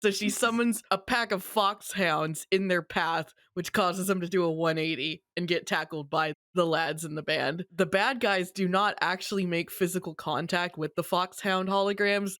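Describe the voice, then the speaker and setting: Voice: mid-range voice, fairly even intonation, normal speed, hardly any pauses, a bit bright, no echo, clean studio sound. A podcast host recapping a story in casual chat, with a wry manner and easy swearing.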